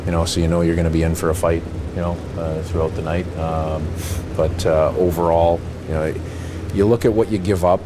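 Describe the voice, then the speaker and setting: A middle-aged man, a hockey head coach, answering reporters at a postgame press conference in a halting way, with many fillers and restarts. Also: low voice, level tone, background noise. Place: arena press backdrop, indoors. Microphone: handheld reporter mics held close.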